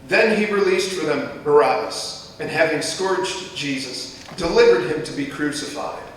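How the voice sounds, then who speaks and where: A man reading scripture aloud as a narrator in a dramatic church reading. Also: moderate pace. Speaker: scripture reader. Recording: echoey church hall, distant mic, faint rumbling noise.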